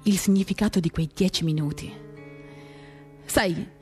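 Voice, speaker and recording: A woman giving a dramatic, confiding first-person reading of literary prose, measured and intimate, in short reflective phrases. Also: lowish voice, darkish tone. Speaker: reader of a novel for radio theatre. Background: acoustic guitar music.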